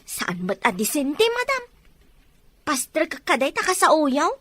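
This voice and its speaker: A woman's voice: sits high, huge pitch swings, measured pace, bright, forceful tone, no room sound, full character voice. A woman playing a deferential, anxious young housemaid in a Filipino radio-style drama. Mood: deferential, wary.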